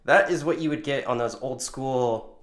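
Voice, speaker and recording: A man's voice: medium pitch, moderate pace. A man giving an informal hands-on demonstration, talking through each movement step by step. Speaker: tutorial presenter. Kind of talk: casual hands-on instructional demonstration.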